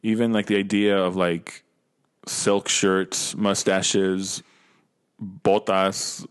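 A man's voice: deep voice, little pitch movement, takes it slowly, clean tone. An American man co-hosting a podcast in casual chat, rambling and slangy, often repeating himself as he gets to his point. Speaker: podcast co-host.

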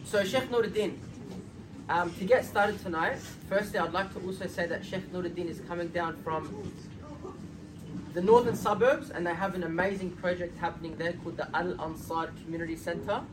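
A man's voice: high-pitched, normal speed. A man hosting an evening talk, politely introducing and questioning his guest. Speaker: event host. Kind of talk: moderating a talk. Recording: distant mic with background noise.

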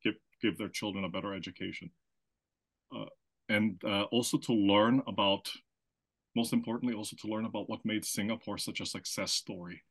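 A man's voice: lowish voice, fairly even intonation, brisk, a bit bright. A man from Austria, raised in the Philippines, giving conversational interview answers, explaining at length and often checking in with "right?". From Austria, grew up in the Philippines. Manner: explanatory, frequent "right?" tags and restarts. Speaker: guest, marketing consultant.

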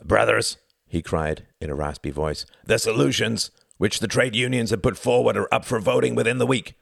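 A man voicing a burly male trade union speaker with a raspy voice. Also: fairly low pitch, normal speed, fairly bright tone, no room sound, clean studio sound, voice pushed up, heavily acted.

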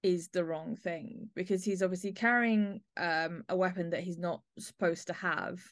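A woman's voice: on the low side, fairly even intonation, moderate pace, dry recording. Woman podcast host, dry close sound. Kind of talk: discussing an audio play.

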